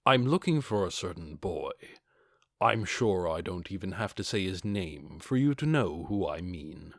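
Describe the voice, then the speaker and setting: A man voicing a calm, coldly confident armored adventurer with a measured, quietly mocking way of talking, for a fantasy audiobook. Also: sits low, dry recording, studio quality, voice pushed down, heavily acted. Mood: cool, confident.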